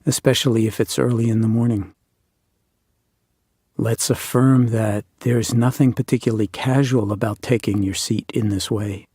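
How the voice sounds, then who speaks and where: A man guides a meditation in a dark, relaxed voice with a husky grain. His phrasing is measured and deliberate, leaving pauses between reflective instructions. The sound is dry, with no room around it. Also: lowish voice, no room sound. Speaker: meditation teacher.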